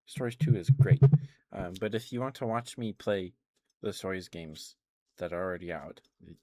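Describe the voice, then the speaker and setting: A man's voice: sits low, moderate pace, a little mellow, dry recording. A man streaming ASMR, chatting casually in a rambling, hesitant way into a close microphone. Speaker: live streamer. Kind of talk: ASMR, casual rambling chat to live viewers.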